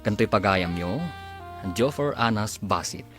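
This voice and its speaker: A man's voice: fairly low pitch, animated, a little slow, over music. A man with an Ilocano accent announcing a radio drama's credits in a scripted delivery.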